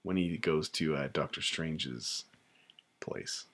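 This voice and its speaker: Casual male streamer, deep voice, loose chatty delivery with fillers. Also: takes it slowly. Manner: relaxed, chatty, many fillers and restarts. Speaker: YouTube host reacting to a show. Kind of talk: casual live reaction chat.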